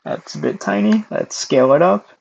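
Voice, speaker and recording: A young man hosting a casual screen-recorded software tutorial, hoarse and losing his voice, clearing his throat often between steps. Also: fairly high pitch, expressive, normal speed, highs cut off. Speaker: tutorial host.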